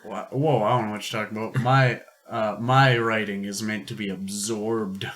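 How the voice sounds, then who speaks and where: A man's voice: fairly low pitch, fairly even intonation, moderate pace, fairly bright tone. A man co-hosting a podcast with casual, irreverent, joking banter and some swearing. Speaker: podcast co-host.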